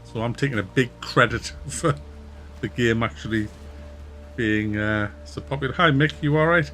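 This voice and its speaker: A man with a Wearside Mackem accent from near Durham, casually chatting with viewers during a game stream, relaxed and chatty, often laughing and trailing off. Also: medium pitch, moderate pace, faint music behind. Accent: Wearside (Mackem) North East English. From North East England, near Durham. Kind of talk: casual live-stream chat reading viewer comments.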